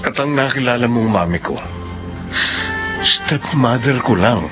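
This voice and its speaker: An actor playing a principled, reasoning young man in a Filipino radio drama, measured and serious. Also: medium pitch, expressive, close to an everyday voice. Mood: sober, confiding. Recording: dull lo-fi radio sound, music behind.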